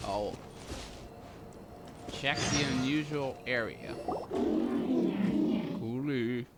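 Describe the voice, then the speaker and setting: A man's voice: mid-range voice, drawn-out words, very noisy. A man doing casual video game commentary, playfully muttering to himself and echoing game text. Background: loud game music.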